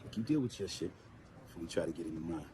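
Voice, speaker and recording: An actor playing a brooding, defensive ex-convict and younger brother in a naturalistic drama, with casual, profane street talk. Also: male voice, deep voice, animated, very fast. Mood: confrontational. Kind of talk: naturalistic screen drama acting. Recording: very noisy ambience around the voice.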